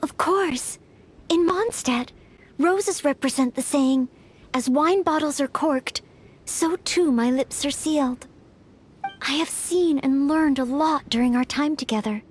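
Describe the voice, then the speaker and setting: Casting: modest, dutiful young maid in a fantasy game, high and slow, gentle manner. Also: female voice, a little hiss or hum. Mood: grateful. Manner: polite, earnest, gentle, measured. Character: earnest, modest young maid training for knighthood. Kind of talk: video game voice acting. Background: game music.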